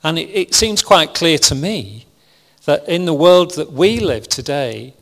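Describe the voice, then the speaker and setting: Calm preacher man in a reverberant hall. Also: on the high side, unhurried. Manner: calm, even, self-described monotonous delivery with frequent restarts. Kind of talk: sermon. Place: church hall with congregation.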